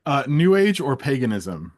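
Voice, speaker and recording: Male interviewer, mid-pitched and expressive, playful and teasing, running a casual quickfire quiz. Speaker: interviewer, host. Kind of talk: rapid either-or quiz in casual chat.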